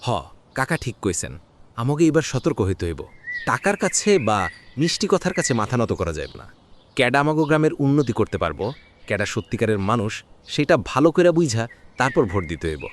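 A man voices an earnest cartoon village voter with a rural Bangladeshi accent. He is respectful and emphatic, his pitch moving a lot, over faint noise. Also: sing-song, normal speed, lightly acted. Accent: rural Bangladeshi Bengali. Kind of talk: cartoon voice acting.

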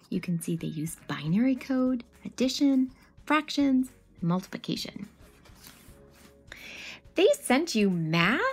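Animated, high-voiced woman reading to children. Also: slightly rough. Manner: animated, addressing children with questions and asides. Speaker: read-aloud host. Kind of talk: expressive children's picture-book read-aloud.

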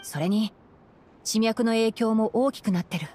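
Woman voicing a calm, studious young male forest ranger. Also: a little high, soft background music, lightly acted. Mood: calm, friendly. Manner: measured, articulate, gently admonishing. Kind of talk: Japanese video game voice acting.

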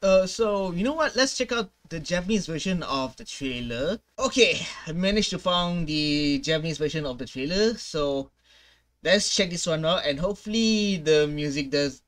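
High-voiced young man, excitable reaction host. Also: normal speed. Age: young adult. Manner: excitable, slangy. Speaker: gaming reaction video host. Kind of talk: casual reaction commentary. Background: game trailer music.

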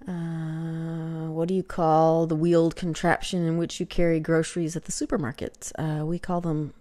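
A woman's voice: deep voice, a little mellow. Chatty woman with a light Texas accent. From Texas, USA. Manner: chatty, self-deprecating, filler-heavy, drops to whispers. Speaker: vlogger. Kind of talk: casual webcam vlog. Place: small home room with shelves, indoors. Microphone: webcam at desk.

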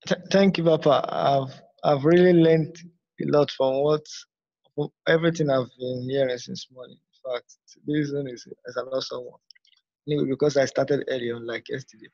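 A man speaking as a congregant in halting, deferential conversation on a video call. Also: mid-range voice, steady tone, vocal fry, a little mellow. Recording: dull video call audio.

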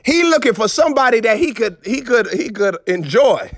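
A man's voice: very high-pitched, very animated, normal speed, no room sound, studio quality. A male preacher giving a streamed sermon in an emphatic, repetitive, scolding manner. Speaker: prophet preacher.

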